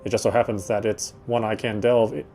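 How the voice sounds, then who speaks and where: A man's voice: fairly low pitch, level tone, brisk, dark tone, slight background noise. A man reading a visual novel's narration aloud as he plays, now and then stumbling and rereading phrases.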